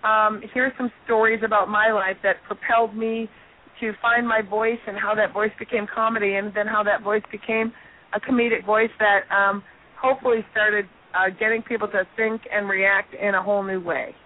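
A hoarse middle-aged woman, a comedian and guest, telling a self-deprecating story in an animated way on a radio interview. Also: medium pitch, moderate pace. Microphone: telephone. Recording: tinny phone line.

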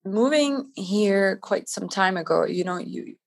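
A woman's voice: mid-range voice, lively intonation, unhurried, creaky. A middle-aged woman from Canada, talking in an upbeat, chatty way as an interview guest, with lots of "like" and "you know". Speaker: guest entrepreneur. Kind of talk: casual interview talk.

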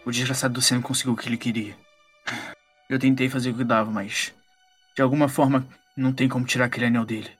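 A man's voice: mid-range voice, level tone, fast talker, fairly bright tone, slightly rough, faint music behind. A Brazilian man playing a world-weary, grim occult detective in a tabletop role-play, speaking in a terse, ominous way.

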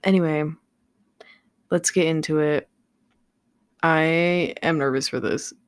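A tired, slightly raspy young woman rambling through a casual solo podcast late at night, swearing freely and filling gaps with lots of ums. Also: low-pitched, steady tone, takes it slowly, no echo. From Southern California. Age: young adult. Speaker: podcast host. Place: home room with a fan running. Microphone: studio microphone without pop filter.

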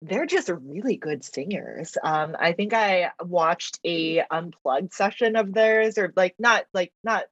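A woman whose first language is Mandarin, chatting as a podcast guest, talkative and quick to laugh, with lots of fillers. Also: fairly low pitch, lively intonation, dry recording. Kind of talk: casual interview chat. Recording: dull lo-fi dry recording.

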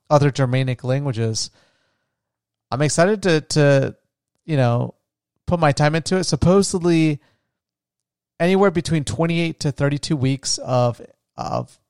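A man's voice: medium pitch, vocal fry, darkish tone, dry recording, clean studio sound. A young American man hosting a podcast, thinking aloud in a casual, rambling way with frequent restarts. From United States. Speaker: podcast host. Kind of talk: casual solo podcast monologue.

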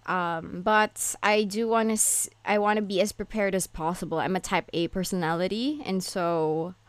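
A woman's voice: medium pitch, steady tone, normal speed, clean tone, no echo. Earnest young woman, dry close sound. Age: young adult. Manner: earnest, rambling, with many fillers. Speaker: podcast co-host. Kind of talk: casual chat.

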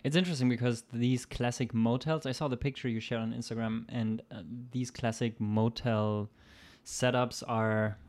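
This is a man hosting an informal interview from his home kitchen, with a voice of ordinary middle pitch. He asks his questions in a relaxed and halting way. He often reaches for fillers before he gets to the point. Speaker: host. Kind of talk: casual interview.

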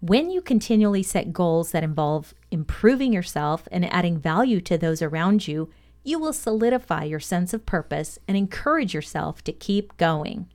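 A woman presenting a scripted motivational podcast lesson, reading aloud in a measured, deliberate, instructional way. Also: lowish voice, expressive, a little mellow.

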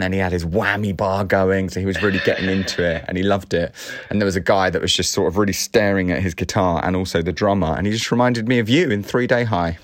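A man's voice: fairly low pitch, dry recording. A man co-hosting a casual show, rambling through chatty stories over a video call. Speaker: co-host. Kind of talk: casual chat.

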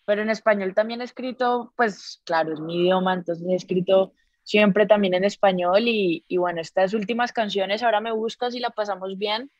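A woman's voice: mid-range voice, normal speed, no room sound. Colombian woman musician, dull audio. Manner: relaxed and upbeat, with fillers like "pues". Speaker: musician guest. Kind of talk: casual interview.

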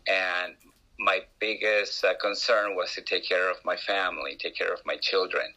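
Peruvian-accented man, bright voice. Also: low voice, little pitch movement, moderate pace, rough recording. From Peru. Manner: hesitant, many restarts and uh fillers. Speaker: guest. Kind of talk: interview storytelling.

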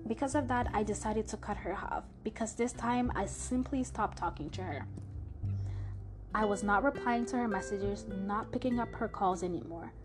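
A woman's voice: mid-range voice, moderate pace, music in the background. A young woman from Montreal with a French accent, chatting through a personal story on her podcast with frequent fillers and restarts. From Canada (Montreal). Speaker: podcast host.

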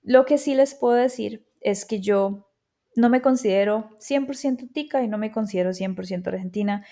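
Mellow-voiced Costa Rican woman podcaster. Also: medium pitch, normal speed. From Costa Rica. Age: 30s. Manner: reflective and chatty, with frequent quoted asides. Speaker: podcast host. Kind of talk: casual personal monologue.

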